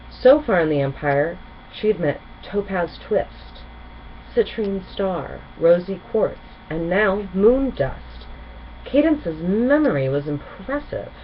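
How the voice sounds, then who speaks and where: Lower-pitched woman reading a children's story. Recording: muffled, with clear background noise.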